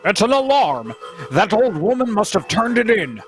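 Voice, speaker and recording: A man's voice: high voice, big pitch swings, normal speed, faint music behind. A man playing a defiant, impetuous young rebel in a sci-fi radio play, bold and scornful in manner. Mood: urgent.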